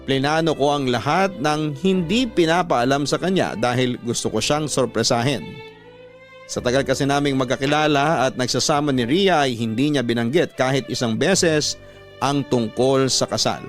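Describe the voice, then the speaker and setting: Radio drama narrator, played by a man: sincere hardworking lover, medium pitch, Filipino accent, soft violin underneath. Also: expressive, normal speed, lightly acted. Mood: wistful, sincere. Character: devoted, hardworking man recounting his love story. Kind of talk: first-person scripted radio drama narration. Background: violin music.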